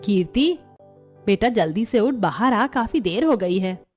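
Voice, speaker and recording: A voice actress playing an older mother-in-law who runs the household with caring firmness, in an animated story. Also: medium pitch, a little slow, soft background music, close to an everyday voice. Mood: instructing. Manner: motherly, gently commanding.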